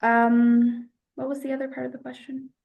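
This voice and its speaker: A woman's voice: sits high, level tone, normal speed, gravelly, narrow, tinny audio. Young American woman answering thoughtfully. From United States. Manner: thoughtful, hesitant, with fillers. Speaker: interviewee. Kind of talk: casual answers in an interview.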